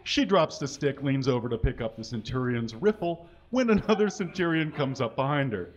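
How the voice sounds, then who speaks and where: A man giving a live comedic reading of fan fiction on a small stage, mostly dramatic with deadpan asides, reading punctuation aloud. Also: mid-range voice, normal speed, a little hiss or hum. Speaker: comedic reader. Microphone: stand mic. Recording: dull, lo-fi live recording.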